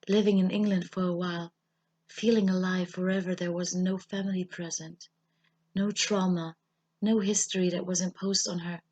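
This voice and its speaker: A woman with a German accent, a native German speaker, reads a literary personal essay in a measured, solemn, husky and slightly breathy voice. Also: lowish voice, darkish tone. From German-speaking Europe. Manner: measured, solemn, deliberate phrasing with pauses. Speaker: writer reading her own essay.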